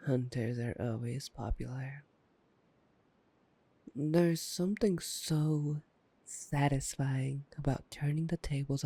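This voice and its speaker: A woman's voice: rumbling low, gravelly, mellow, breathy edge, exaggerated voice. A woman playing a taunting, playful, predatory vampire in an ASMR roleplay, with a teasing, mocking drawl. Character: taunting, playful, predatory vampire gloating over a captive hunter. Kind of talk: ASMR roleplay audio drama.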